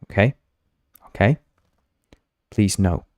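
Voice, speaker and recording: A man's voice: fairly low pitch, slow pace, darkish tone, dry recording. A man whose first language is Spanish, hosting a casual live coding stream, thinking aloud, repeating himself and playfully teasing his chat. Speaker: game developer streaming live.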